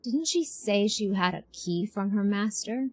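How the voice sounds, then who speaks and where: A woman's voice: mid-range voice, expressive, a little slow, slightly rough, dry recording. A woman streamer giving casual, playful live reactions to a game, often repeating short phrases. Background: game music.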